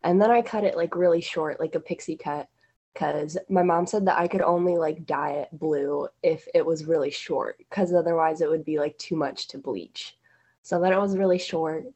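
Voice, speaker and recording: A teenage girl chatting casually in a group, full of fillers and quick asides. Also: low voice, steady tone, warm tone, breathy edge. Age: teens. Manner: chatty, filler-heavy, giggly.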